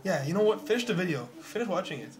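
A man's voice: sits high, animated. A young man from Toronto with a Toronto accent, chatting and teasing a friend in a vlog filmed on a phone in a small bedroom. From Canada. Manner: teasing, joking, talks fast in bursts. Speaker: vlogger. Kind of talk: casual chat with a friend. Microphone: phone camera at arm's length.

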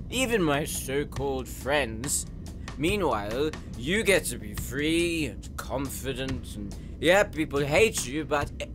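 Guy voicing an insecure flustered young dude, high voice, slow and swoopy, music behind. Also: fairly bright tone. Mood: bitter. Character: insecure, earnest, easily flustered young man, drunken lightweight. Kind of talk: dramatic audio roleplay acting.

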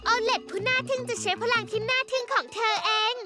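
Confident young girl cartoon superhero, extremely high and eager, music strong underneath. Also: steady tone, fast. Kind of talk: animated children's cartoon dub. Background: loud music.

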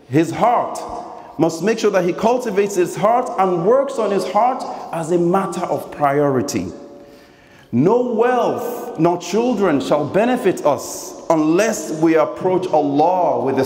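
A man's voice: sits high, animated, measured pace, a little mellow. A young man giving an earnest Friday sermon from notes at a stand microphone in a large mosque hall. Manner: earnest, reading from paper, chants Quranic verse. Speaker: preacher. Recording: echoey hall reverb.

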